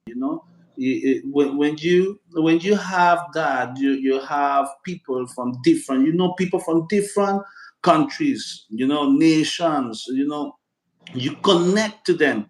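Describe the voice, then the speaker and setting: Echoey man, chatty and earnest. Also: fairly high pitch. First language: Spanish. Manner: earnest, repeats words and fillers often. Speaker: interview guest. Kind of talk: casual interview answers. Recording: echoey room with loud background noise.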